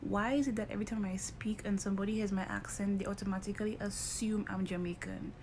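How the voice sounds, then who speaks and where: A woman airing a casual complaint in a quiet, half-whispered voice. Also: mid-range voice, steady tone, fast talker, slight background noise.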